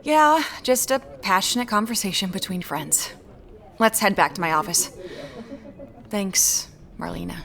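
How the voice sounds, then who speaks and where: A woman playing a guarded, quietly determined amateur detective in a naturalistic audio drama, with a dry, measured manner. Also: mid-range voice, gravelly. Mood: subdued.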